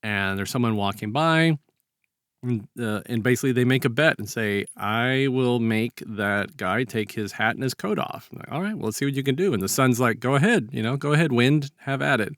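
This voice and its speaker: A man's voice: mid-range voice, expressive, moderate pace, fairly bright tone, dry recording, studio quality. A man co-hosting a podcast, chatting and telling stories in a rambling, colloquial way. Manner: rambling, colloquial, acts out short quotes. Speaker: podcast co-host. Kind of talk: casual chat, informal storytelling.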